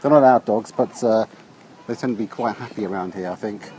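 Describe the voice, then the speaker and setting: A man's voice: fairly low pitch, fairly quick, a little mellow, lo-fi sound, slight background noise. An English man talking casually while walking by a lake, greeting and thanking viewers of his live phone stream. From England. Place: outdoors by a busy lakeside. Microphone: phone held while walking.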